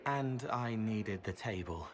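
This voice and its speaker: Odd gentle young spirit, quiet, plain, earnest. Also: male voice, on the low side, lively intonation, takes it slowly, some chatter behind.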